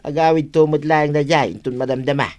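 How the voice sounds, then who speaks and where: A man's voice: fairly high pitch, fairly even intonation, fairly quick, a little mellow, close to an everyday voice. A man playing a moody village husband in a comedy radio drama. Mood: casual.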